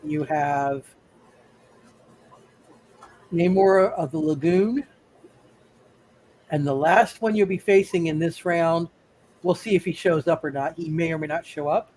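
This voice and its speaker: A man's voice: a little high, measured pace, long pauses, a little mellow, rough recording. A man narrating a live tabletop roleplaying game as game master, casually rambling and repeating his phrases.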